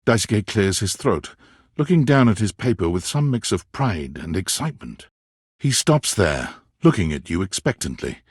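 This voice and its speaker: A man playing a wry, dramatic storyteller who narrates a romance video game in measured second person. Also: on the low side, big pitch swings, slightly rough, no echo, studio quality.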